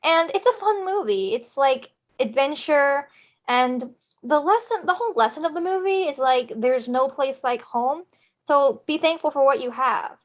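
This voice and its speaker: A woman's voice: sits high, expressive, moderate pace. A woman introducing herself as a performer in casual, conversational talk. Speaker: table read performer. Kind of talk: casual chat.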